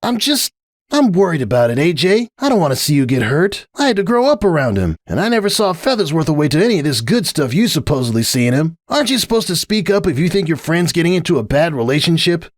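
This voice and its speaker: Man voicing a brash, high-pitched young pony mare. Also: sing-song, fast, dry recording, studio quality. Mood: worried. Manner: scoffing, exclamatory, emphatic. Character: brash, jealous young female cartoon pony, sulky and dramatic. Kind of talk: dramatic fan fiction reading.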